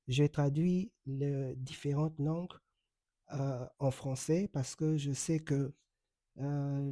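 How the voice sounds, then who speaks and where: A middle-aged man from Congo whose first language is Kikongo speaks in a very dark, mellow voice. He explains at a steady pace, filling pauses with hesitations. The sound is close and dry with no room around it. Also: a little high, no room sound. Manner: measured, explanatory, with frequent hesitations. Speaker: online commentator and accredited journalist. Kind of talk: direct-to-camera commentary. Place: home in the United States.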